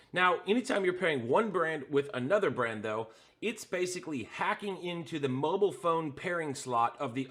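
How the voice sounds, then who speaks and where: A man's voice: fairly high pitch, fairly bright tone. A man hosting a hands-on tutorial, explaining a setup step by step with frequent "uh" fillers while pointing at the devices in front of him. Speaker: host.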